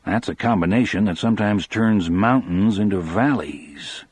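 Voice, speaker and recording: A man's voice: on the low side, normal speed, darkish tone, breathy edge, no room sound. A man narrating an audiobook in the first person, in a scripted, dramatic storytelling style.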